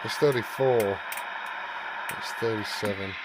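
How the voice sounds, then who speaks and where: Man chatting casually over loud-ish background noise. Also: fairly low pitch, a little slow. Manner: informal, sweary, muttering to himself while working. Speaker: hobbyist video host. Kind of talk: casual hands-on repair vlog chat.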